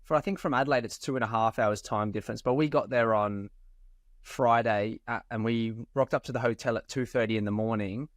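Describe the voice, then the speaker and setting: Male co-host, casual and talkative, mid pitch, dry close recording. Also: fairly quick, no room sound. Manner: chatty, swears casually, animated asides. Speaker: podcast co-host. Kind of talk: casual podcast chat.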